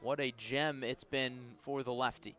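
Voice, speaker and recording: A man's voice: medium pitch, fairly even intonation, unhurried, bright, forceful tone, smooth. A man doing live baseball play-by-play for radio, describing the action in clipped, descriptive phrases. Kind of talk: live radio sports commentary.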